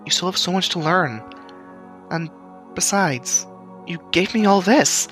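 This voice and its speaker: A man voicing a prickly, sarcastic but soft-hearted young woman in a narrated audio story, earnest under the exasperation. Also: high voice, animated, unhurried, soft background music, pitched above the performer's own voice. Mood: fond, teasing.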